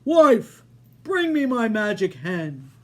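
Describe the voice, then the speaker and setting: A woman reading aloud, voicing a huge, greedy, bad-tempered male giant with slow, roaring commands. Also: fairly low pitch, animated, heavily acted.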